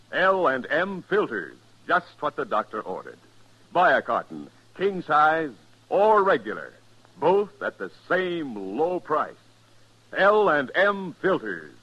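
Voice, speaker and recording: A man's voice: mid-range voice, pushed and bright, slightly rough. A man with an American accent delivering an emphatic 1950s radio advertisement as the commercial announcer. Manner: emphatic salesmanship. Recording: dull, lo-fi vintage radio recording.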